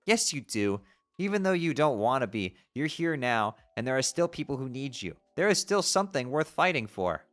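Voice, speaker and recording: A performer voicing a fierce teenage girl who scolds and yells in exasperation. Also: mid-range voice, very animated, moderate pace, soft background music, voice pushed down. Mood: earnest.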